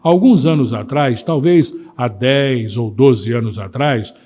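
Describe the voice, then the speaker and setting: A man's voice: mid-range voice, takes it slowly, darkish tone, soft background music. A man with a Brazilian accent, a radio presenter giving reflective commentary.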